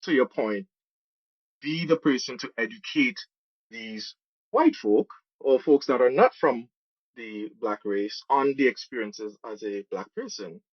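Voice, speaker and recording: Podcast guest: Trinidadian man, mid-pitched voice, conversational answers, dry but slightly dull recording. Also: dry recording. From Trinidad and Tobago. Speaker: podcast guest, program manager and musician.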